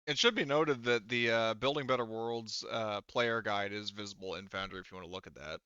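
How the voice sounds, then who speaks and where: A man's voice: fairly low pitch, fairly quick, pushed and bright, clear, resonant tone, no echo, dull audio. A man chatting casually as the game master of a tabletop roleplaying session.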